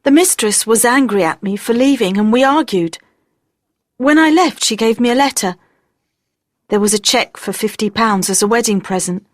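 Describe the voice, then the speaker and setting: Man voicing a high-pitched, distraught maid. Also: normal speed, dry recording, clean studio sound, close to an everyday voice. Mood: upset, apologetic. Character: distraught young female maid, frightened and apologetic.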